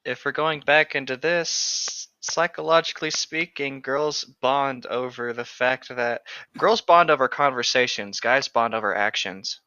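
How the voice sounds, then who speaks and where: A man's voice: mid-range voice, unhurried, bright, forceful tone, smooth, no echo. A young man in casual joking chat, blunt and given to exasperated banter, over a lo-fi voice-call connection. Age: young adult.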